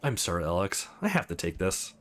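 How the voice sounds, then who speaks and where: A man's voice: low voice, big pitch swings, a little fast, voice pushed down. A man voicing a confident, sardonic businesswoman with a teasing, probing manner in a visual novel. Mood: candid. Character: sardonic, confident female business boss on a dinner date.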